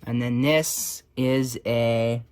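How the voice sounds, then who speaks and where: A man's voice: mid-range voice, fairly even intonation, drawn-out words, a little mellow, clear, resonant tone. A man giving casual, off-the-cuff hobbyist commentary at a workbench, with wry, sarcastic asides muttered along the way. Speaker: hobbyist restorer and video host.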